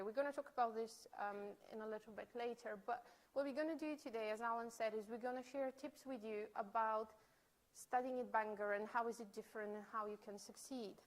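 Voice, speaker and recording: A woman with a fairly high voice leads a study skills workshop for new students. She explains in a friendly, step-by-step way, with frequent fillers between points. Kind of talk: workshop presentation. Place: lecture room.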